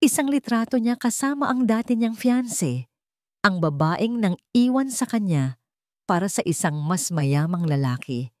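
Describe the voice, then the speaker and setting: A woman's voice: mid-range voice, sing-song, a little slow, dry recording, clean studio sound. A woman reading scripted dramatic narration of a romance story as the storyteller.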